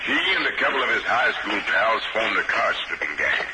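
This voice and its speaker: An actor playing a sarcastic, cocksure police lieutenant in a 1950s radio drama. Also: male voice, fairly low pitch, expressive, normal speed, natural voice. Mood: brusque, insistent. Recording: very noisy old 1950s radio recording.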